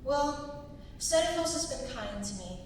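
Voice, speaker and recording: A woman's voice: sits high, lively intonation, unhurried, a little hiss or hum. A woman acting a poised, friendly young princess in a stage reading. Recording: echoey hall, distant mic.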